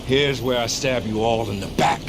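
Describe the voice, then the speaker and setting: An actor playing a roguish, teasing outlaw comrade in a video game cutscene, with a wry delivery. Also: male voice, mid-range voice, steady tone, moderate pace, noisy background, close to an everyday voice.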